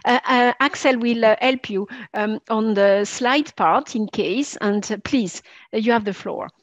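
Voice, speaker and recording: A woman's voice: on the high side, measured pace, no echo. A woman moderating an online session, speaking hesitantly with many fillers. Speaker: webinar moderator. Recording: dull lo-fi online call audio.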